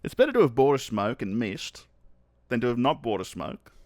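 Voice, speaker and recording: A man's voice: mid-range voice, huge pitch swings, normal speed, dry recording. A young Australian man co-hosting a podcast, bantering with self-mocking jokes and laughs. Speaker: podcast co-host. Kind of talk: casual chat.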